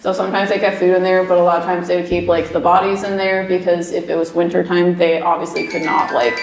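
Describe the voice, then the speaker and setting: A woman's voice: on the low side, little pitch movement, quick pace. Young woman, medium on a ghost hunt, giggly rambling chatter, recorded in a roomy basement. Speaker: medium, paranormal investigator. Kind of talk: casual chat on a ghost hunt. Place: old basement room with echo. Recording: echoey basement room.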